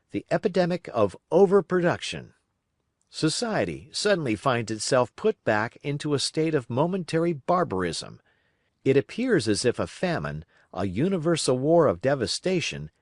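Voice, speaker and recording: A man reading a political text aloud as scripted audiobook narration, in measured, emphatic, oratorical phrasing. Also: mid-range voice, no room sound, clean studio sound. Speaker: audiobook reader.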